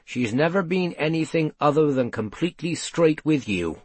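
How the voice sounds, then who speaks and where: A man voicing a calm, enigmatic male investigator in an audiobook story, measured and unruffled. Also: a little high, husky, no echo. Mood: cool, guarded.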